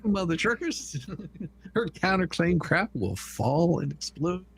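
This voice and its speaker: Male livestream host, husky voice, casual, jokey, excitable delivery with repeated phrases. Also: high-pitched, slow.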